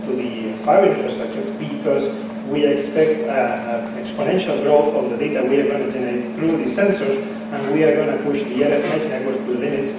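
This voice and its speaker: A man whose first language is Spanish, giving a technical conference talk in a halting way, full of fillers and restarts. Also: medium pitch, fairly even intonation, recorded from a distance, very noisy. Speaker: conference speaker. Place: conference hall. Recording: echoey hall, mic from afar.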